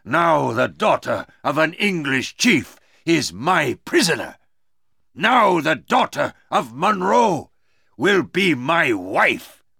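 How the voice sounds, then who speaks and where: Man playing a slow, gloating warrior villain. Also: fairly high pitch, sing-song, vocal fry, bright, forceful tone, husky, no echo, studio quality, voice pushed up, full character voice. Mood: gloating, hateful. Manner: drawn-out, gloating. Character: menacing, boastful Huron villain, contemptuous captor.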